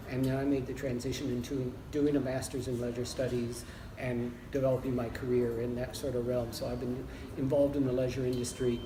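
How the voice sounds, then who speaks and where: A semi-retired American man in his sixties, answering interview questions in a relaxed way with frequent pauses and restarts. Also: mid-range voice. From United States. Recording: roomy, distant mic with background noise.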